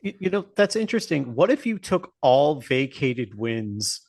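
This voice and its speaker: Regular mid pitched guy on a podcast, chatting and joking w friends. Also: sing-song, normal speed, a little mellow. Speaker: podcast co-host. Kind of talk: casual chat, joking banter.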